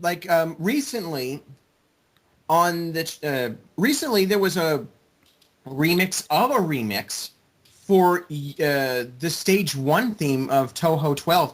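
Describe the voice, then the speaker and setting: A man chatting casually in a group and explaining things in an earnest way. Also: on the high side. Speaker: group member.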